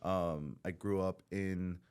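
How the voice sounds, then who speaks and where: Male guest from Southern California, very low voice; candid, profane, loose and rambling delivery. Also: fairly even intonation, takes it slowly, darkish tone, smooth. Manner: blunt, swears freely, rambling with restarts. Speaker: podcast guest in recovery. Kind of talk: candid podcast conversation.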